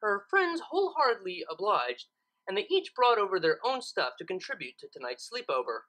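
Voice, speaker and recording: A woman's voice: medium pitch, sing-song, a little fast, a bit bright, low-quality recording. A woman reading a scripted comic story aloud as its narrator. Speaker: fan fiction reader.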